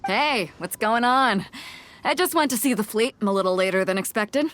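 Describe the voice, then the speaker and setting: A woman playing a bold, rough-edged female sea captain in a video game, talking in a casual, blunt, colloquial way. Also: a little high, animated, normal speed, a bit bright. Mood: breezy, amused. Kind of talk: English video game voice acting.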